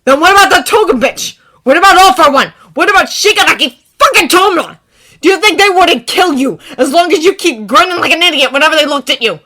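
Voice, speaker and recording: A woman's voice: mid-range voice, animated, a little fast, voice pushed up. Woman doing a furious swearing teen boy. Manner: shouting, swearing, voice cracking. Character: furious teenage boy hero, explosive temper. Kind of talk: fan fiction reading.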